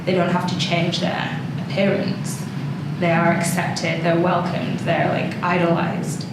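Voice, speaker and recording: A woman speaks in a very reverberant room with clear background noise. Her voice is a little low, and she talks in a hesitant, thoughtful way, as a participant sharing in a casual group discussion. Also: completely flat delivery, unhurried. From England. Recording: very echoey, noisy room.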